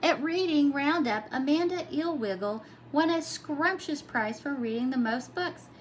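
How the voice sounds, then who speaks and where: A woman's voice: high voice, expressive, unhurried, a little hiss or hum, poor audio. A woman reading a children's storybook aloud expressively, in the style of a preschool teacher.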